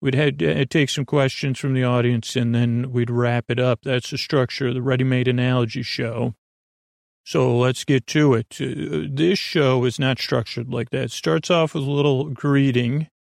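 A man's voice: medium pitch, fairly even intonation, moderate pace, vocal fry, no echo, studio quality. A man hosting a podcast in a casual, goofy bedtime monologue, meandering and self-deprecating, often trailing off and restarting his sentences. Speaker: podcast host.

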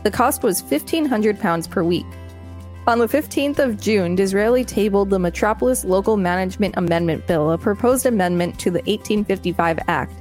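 A woman co-hosting a podcast, reading an article aloud and bantering in a deadpan, teasing way. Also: mid-range voice, fairly quick, faint music behind. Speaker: podcast co-host.

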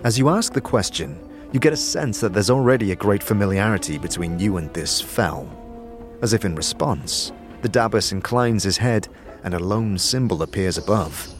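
Male narrator, low voice, measured dramatic storytelling, scripted fantasy narration, music underneath. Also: animated. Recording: music behind the voice.

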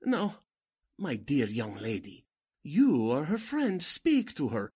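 A sinister cult leader from an old-style radio drama, played by a man. He speaks slowly and formally, with theatrical swings of pitch. The voice is slightly rough rather than clean. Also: wildly swooping pitch, warm tone, exaggerated voice. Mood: urgent, pleading. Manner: formal, theatrical, commanding or pleading. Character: sinister, crooked male cult leader and fake medium. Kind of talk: 1940s radio drama acting.

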